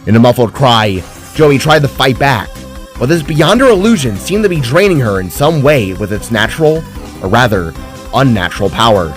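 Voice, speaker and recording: A man narrates a fantasy story in a mid-pitched voice. His delivery is scripted and dramatic, like an audiobook reader, with music playing behind him. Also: very animated, normal speed. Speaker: narrator and author reading own story.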